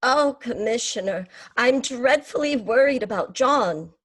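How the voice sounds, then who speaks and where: An actress playing a fretful, polite, genteel wife in an old-time radio melodrama, worried and breathless. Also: female voice, on the high side, expressive, measured pace, husky, no room sound.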